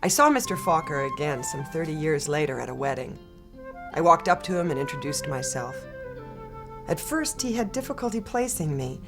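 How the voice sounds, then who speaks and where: A woman reading a children's picture book aloud in a gentle, expressive storytelling manner. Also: sits low, moderate pace. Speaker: storybook reader. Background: soft guitar music.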